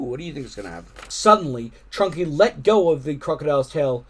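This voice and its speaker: A man reading a children's story aloud expressively, with animated, dramatic emphasis. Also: a little high. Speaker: storytime reader.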